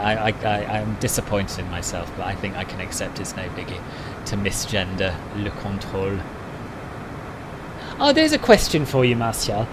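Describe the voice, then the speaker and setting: A man's voice: fairly low pitch, noisy background. A man chatting casually on a live stream, answering viewers' messages one by one. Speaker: flight sim streamer.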